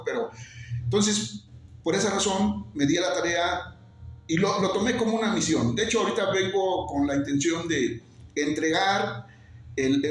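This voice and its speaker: A middle-aged man from Mexico, a Nahuatl speaker by birth, talks with passion and builds his points through repeated, emphatic lists. His voice sits fairly high for a man. The sound carries heavy reverb and a faint hiss behind it. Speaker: teacher and songwriter guest. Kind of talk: interview, earnest explanation. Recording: very echoey with faint noise.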